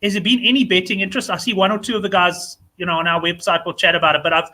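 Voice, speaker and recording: South african guy hosting a chat, high raspy voice, talks fast, matey banter. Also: steady tone, pushed and bright. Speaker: show host. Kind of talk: casual chat.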